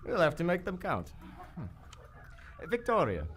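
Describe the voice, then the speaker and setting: Bright, high-voiced, courteous sea captain played by a man. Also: huge pitch swings, unhurried, exaggerated voice. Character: grave, courteous Greek sea captain, wary adventurer. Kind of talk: live radio theater acting. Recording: live stage recording with faint noise.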